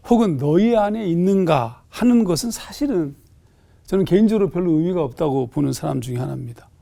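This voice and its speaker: A male pastor talks through a scripture passage on a studio panel, pausing on fillers as he thinks. His voice sits high and has a gravelly edge. The tone stays mellow. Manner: thoughtful, explanatory, with hesitant fillers. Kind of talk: panel discussion explaining scripture.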